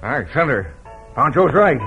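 An actor playing a hearty, plain-spoken frontier sheriff with a folksy drawl in a radio Western. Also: male voice, mid-range voice, very animated, fairly quick, bright, forceful tone, faint music behind. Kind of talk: old-time radio Western acting. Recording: muffled, noisy old radio recording.

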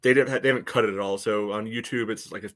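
A man giving casual soccer analysis as a podcast panelist, in a halting way padded with filler phrases. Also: lowish voice, steady tone, a bit bright.

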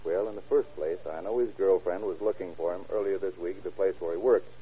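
A tough, persistent private eye in an old radio crime drama. His voice is low, very dark and rough-edged, and he talks in clipped, slangy questions. The recording is dull and aged. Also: quick pace. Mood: pressing, firm.

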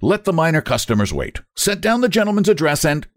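A man voicing an excitable male tailor-shop owner who fawns over a customer in a rush of flattery. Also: medium pitch, husky, dry recording, clean studio sound, exaggerated voice. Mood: fawning. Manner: gushing, rapid, breathless flattery.

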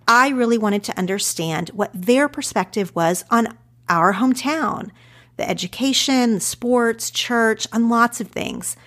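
A woman in her thirties with an Oklahoma accent, introducing her podcast episode in an earnest, reflective way. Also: on the high side. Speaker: podcast host. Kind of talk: podcast intro then conversation.

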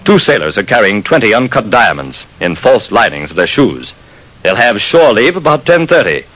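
An actor playing a suave, menacing criminal in old-time radio style, speaking smoothly and with calculation. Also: male voice, lowish voice, very animated, moderate pace, a bit bright, poor audio. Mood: coolly conspiratorial. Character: suave, menacing male gem-dealing hijacker. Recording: vintage radio broadcast sound.

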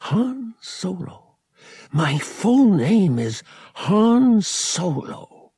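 A man voicing an eager, curious young male hero in an audiobook, in a hushed, urgent, boyish way with a breathy, husky voice. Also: high voice, big pitch swings, drawn-out words, no echo, pitched above the performer's own voice, exaggerated voice. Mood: wondering, pleased.

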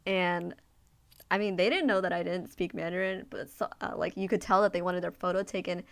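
A woman's voice: fairly low pitch, steady tone, a little fast, vocal fry. A young woman chatting casually with friends, reflective and hesitant, with many fillers. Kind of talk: casual group chat.

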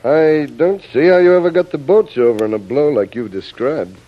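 An actor playing a suspicious island boss in a vintage radio drama, questioning with smooth courtesy and a probing edge. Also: male voice, on the high side, moderate pace, lightly acted. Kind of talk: 1940s radio acting. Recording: muffled vintage radio recording.

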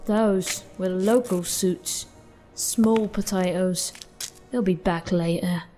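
A woman's voice: on the low side, fairly even intonation, slow, mellow, faint music behind. A woman playing a no-nonsense police officer on guard duty in an audio drama. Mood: brisk, official. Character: brisk, no-nonsense policewoman guarding a crime scene.

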